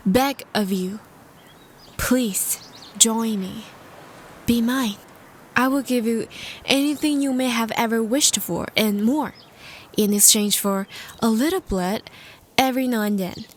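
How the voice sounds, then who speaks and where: Casting: a woman as an ancient female vampire for roleplay audio, courteous and besotted, formal phrasing, unhurried delivery, slight background noise. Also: on the high side. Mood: pleading, devoted. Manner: formal old-fashioned wording, hesitant, earnest. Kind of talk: scripted roleplay audio drama, intimate monologue.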